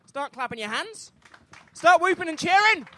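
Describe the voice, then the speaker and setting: High, bright-voiced man hyping a crowd. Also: big pitch swings, normal speed. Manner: builds to a shouted introduction. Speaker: comedy club compere. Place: comedy club stage.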